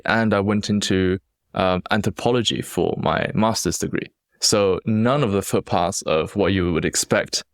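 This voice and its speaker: A young man with a very low voice and an accent that blends Chinese and British English tells his own story on a solo podcast. He talks in an earnest, reflective way and often restarts his sentences. The recording is dry and close, with no room sound. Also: no echo. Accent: Chinese-accented English with British influence. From China. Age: young adult. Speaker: podcast host.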